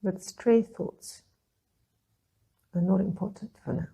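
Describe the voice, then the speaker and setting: A woman leading a guided meditation, speaking in a soothing, gentle way with long pauses between phrases. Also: medium pitch, steady tone, vocal fry, husky. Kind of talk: guided meditation, calm instruction.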